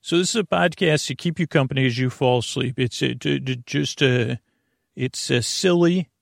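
A man from the United States, hosting a sleep podcast with a meandering monologue full of filler words and tangents, in a creaky voice. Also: mid-range voice, moderate pace, no room sound, studio quality. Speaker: podcast host.

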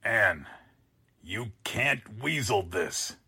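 An actor playing a sarcastic, blustering newspaper editor in a 1940s-style radio drama, with a husky voice. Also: male voice, lowish voice, expressive, extremely slow, bright, forceful tone, exaggerated voice. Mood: exasperated, scolding. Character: exasperated, sarcastic male newspaper editor boss.

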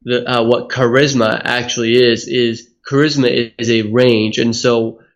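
A man's voice: medium pitch, little pitch movement, measured pace, muffled recording. A man from Hawaii, a speaking coach, giving earnest, reflective interview answers that explain his ideas at length. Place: Australia.

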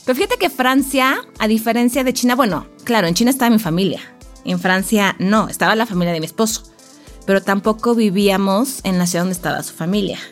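A Mexican woman in her forties, a podcast guest, telling lively stories about living abroad in a casual chat. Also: mid-range voice, fast talker, soft background music. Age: 40s. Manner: lively storytelling with laughs.

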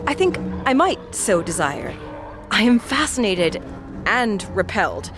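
An inquisitive, wry female traveler, acted by a woman in a fantasy audio drama. Her articulate speech swings animatedly in pitch, argumentative and emphatic, with music underneath. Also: sing-song, measured pace. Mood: thoughtful.

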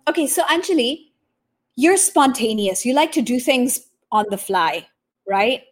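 Female podcast host, from Japan, slightly high pitch, conversational interviewer. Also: expressive, measured pace.

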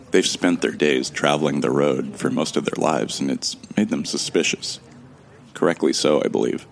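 A man's voice: rumbling low, creaky. An actor playing a friendly amnesiac man who narrates his story in a conversational, wry first-person style. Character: Jake Fisher, amnesiac man, observant and friendly. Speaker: narrator and lead character of an audio drama.

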